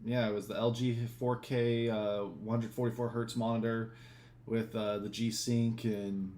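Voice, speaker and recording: A man chatting casually as a stream guest, energetic but stumbling over his words. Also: fairly low pitch, unhurried. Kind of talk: casual chat. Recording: echoey room, faint ambience.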